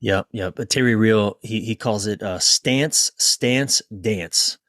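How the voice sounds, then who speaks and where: A man speaking as a podcast guest and relationship coach, telling personal stories in an informal, emphatic, sometimes halting way with casual swearing. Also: fairly low pitch, a bit bright, no room sound, clean studio sound.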